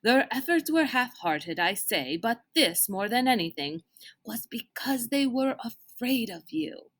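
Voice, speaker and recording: A woman reading aloud as a formal, kindly older gentleman mentor who speaks in deliberate, old-fashioned phrases. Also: very high voice, very animated, full character voice. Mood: earnest explaining.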